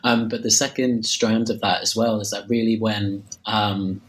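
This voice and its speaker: A man's voice: lowish voice, level tone, normal speed, poor audio. A man with a slight cold, losing his voice a little, talking about his book in an interview, hesitant with many fillers and restarts. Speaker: author discussing his book. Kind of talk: interview answer.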